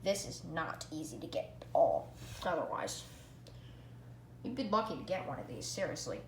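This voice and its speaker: A female-sounding vlogger giving a casual product review in an excitable way, repeating phrases for emphasis. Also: medium pitch, moderate pace. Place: indoor room at home. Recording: echoey room, background hiss.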